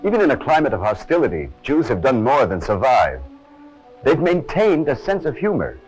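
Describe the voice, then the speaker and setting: A man's voice: mid-range voice, wildly swooping pitch, normal speed, slightly rough, faint music behind, poor audio. A man giving scripted, dramatic documentary narration on a harsh, over-modulated old film soundtrack. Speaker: presenter of an army training film.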